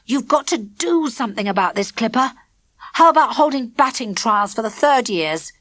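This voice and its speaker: A woman voicing a serious, worried schoolboy who speaks in a frowning, quietly stern way. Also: on the high side, animated, moderate pace, no echo, voice pushed up. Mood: urging.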